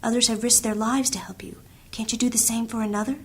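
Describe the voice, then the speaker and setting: An actress playing a gentle, earnest young princess who is a healer, speaking softly and urgently in a fantasy audio drama. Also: female voice, a little high, little pitch movement, quick pace, breathy edge. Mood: pleading whisper. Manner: soft, urgent, often hushed.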